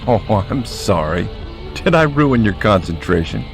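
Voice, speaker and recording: Gravelly, domineering crime-boss father. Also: on the low side, big pitch swings, unhurried, over loud music, full character voice. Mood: mocking, menacing. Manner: mocking, menacing, theatrical outbursts. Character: domineering, crude, sarcastic crime-boss father of grown son. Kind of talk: radio drama acting.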